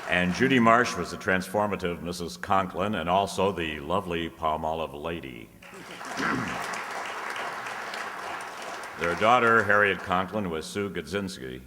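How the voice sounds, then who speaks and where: Deep-voiced man announcing in a noisy hall. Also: slow, pauses often, a crowd in the background. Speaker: show announcer reading cast credits. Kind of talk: live curtain-call introductions. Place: large indoor auditorium, on stage. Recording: noisy echoey auditorium with audience.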